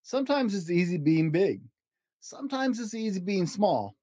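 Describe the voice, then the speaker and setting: A man hosting a storytime show and reading a children's book aloud expressively, in deliberate short phrases with an encouraging manner. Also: high-pitched, very animated, dark tone, no echo, clean studio sound. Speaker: podcast host reading picture books aloud.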